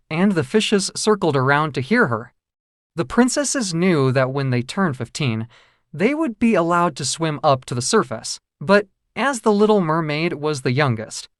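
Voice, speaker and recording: A storyteller reads a children's fairy tale from a script. The voice moves up and down in a lively, expressive way. The sound is dry, with no room around it. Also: medium pitch, no echo.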